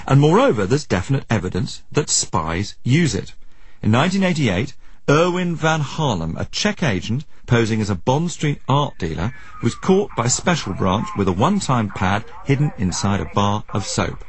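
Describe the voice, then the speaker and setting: A man's voice: medium pitch, big pitch swings, normal speed. A man presenting a radio documentary, mixing scripted narration with probing questions in a wry, inquisitive manner.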